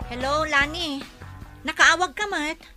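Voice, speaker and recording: A dutiful working woman in an Ilocano radio drama. She sounds high and bright, with an Ilocano accent. Her delivery is earnest and worried as she explains herself. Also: a little slow, faint music behind, heavily acted. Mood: earnest, hurried.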